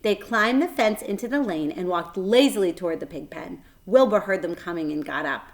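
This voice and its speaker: A woman reading a children's book aloud as a storyteller, expressive, with dramatic emphasis and shifting volume. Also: lowish voice, normal speed.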